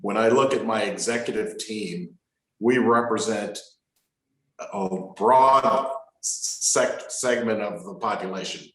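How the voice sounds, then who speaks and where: A low-voiced man in his sixties speaks on a video call panel, measured and halting, pausing on fillers. His voice carries a noticeable echo from the room around him. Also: a bit bright. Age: 60s. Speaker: company owner and panelist. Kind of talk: online panel discussion.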